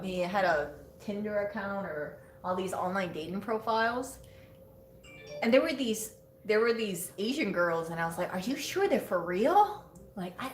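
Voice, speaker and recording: A woman's voice: mid-range voice, fairly bright tone, roomy sound, slight background noise. A woman co-hosting a live stream, chatting casually and teasing her co-host, laughing often.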